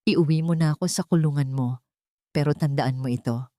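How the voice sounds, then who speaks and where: A woman's voice: deep voice, normal speed, dark tone, dry recording, clean studio sound, lightly acted. A woman voicing a bitter, defiant young heroine of an audio drama, accusing and emotional, with a Filipino accent. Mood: cold, defiant.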